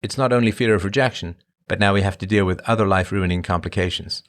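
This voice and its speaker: A middle-aged man from Ireland and England, rambling casually as a host answering his live chat. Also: fast talker. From born in Ireland, grew up in England. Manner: rambling, conversational, emphatic swings in pitch. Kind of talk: casual monologue.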